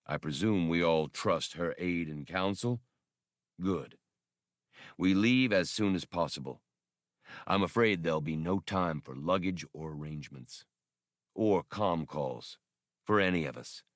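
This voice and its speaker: Man playing a grave, low-voiced rebel leader. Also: no echo, studio quality. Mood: commanding. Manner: measured, commanding, final. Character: grave, decisive male leader of a rebel band.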